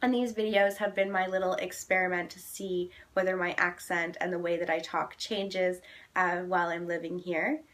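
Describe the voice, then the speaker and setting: A woman's voice: fairly low pitch, flat delivery, moderate pace, a bit bright. A young woman from Canada with a Canadian English accent, chatting casually to camera in a friendly way with frequent ums. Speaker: vlogger.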